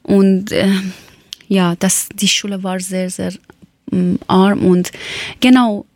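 A woman from Iran with a Persian accent, speaking German as a second language and telling a personal account haltingly, often searching for words. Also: mid-range voice, level tone, a little mellow, no echo, studio quality. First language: not German. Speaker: former teacher telling her life story.

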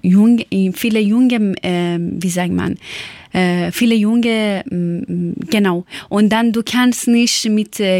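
A woman from Iran, speaking German as a learned language, tells her story haltingly and searches for words with many fillers. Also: medium pitch, a little mellow, no room sound. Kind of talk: personal account.

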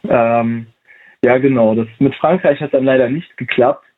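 A man's voice: medium pitch, fairly even intonation, normal speed. Young man on a tinny line, hesitant. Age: 20s. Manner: hesitant, many fillers and restarts. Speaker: interview guest, volunteer at a museum. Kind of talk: casual interview answers.

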